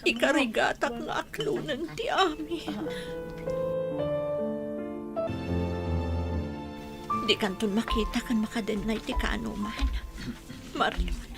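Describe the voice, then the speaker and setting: A woman playing a grieving, tearful widow in a radio drama, lamenting in drawn-out phrases. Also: a little high, creaky, loud music behind the voice. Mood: sorrowful. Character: grieving widow Aurelia, mourning her husband Marlon.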